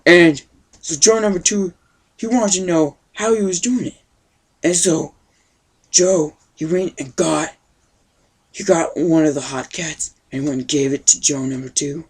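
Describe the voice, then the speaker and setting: An amateur acts out an old grandpa telling a homemade comic story. The delivery rambles in a folksy way, full of youthful slang. The pitch sits in a middle range. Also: measured pace, slightly rough. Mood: rambling storytelling. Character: totally wicked awesome old grandpa telling a tale.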